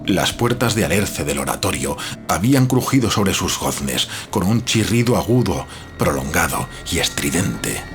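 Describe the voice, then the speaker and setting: A man with a low, deep voice narrates a gothic literary tale. His storytelling is measured and dramatic. Music plays softly behind the narration. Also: sing-song. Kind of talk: scripted literary horror narration.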